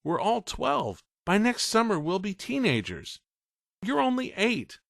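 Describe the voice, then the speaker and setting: A man's voice: high voice, huge pitch swings, a little slow, gravelly, a bit bright, dry recording, clean studio sound, voice pushed up, exaggerated voice. A man voicing a twelve-year-old boy who earnestly coaxes his little brother. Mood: coaxing. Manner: coaxing, earnest.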